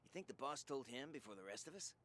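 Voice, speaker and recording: Brash male gangster, actor-played, scornful, lively intonation, medium pitch, audio drama. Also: fast talker, a little hiss or hum, close to an everyday voice. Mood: scornful, sly.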